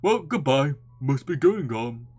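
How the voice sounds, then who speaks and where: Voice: medium pitch, sing-song, measured pace, a little mellow, husky, soft background music. A performer voicing a naive, good-natured little bear in a storybook, bumbling and exclaiming as it talks. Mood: hopeful, hesitant.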